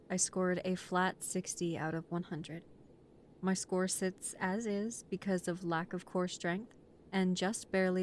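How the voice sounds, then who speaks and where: A woman's voice: fairly low pitch, darkish tone, slight background noise, lightly acted. A woman playing a nervous, deferential mafia recruit who speaks politely and formally, with hesitation, in an audio roleplay.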